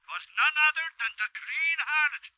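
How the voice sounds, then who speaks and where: An actor playing an excitable, blustering Irish newspaper man, heard through a telephone filter in an old radio drama. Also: very high-pitched, moderate pace, lo-fi sound, full character voice. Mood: emphatic. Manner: blustery, folksy, emphatic. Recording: noisy, thin vintage radio phone voice.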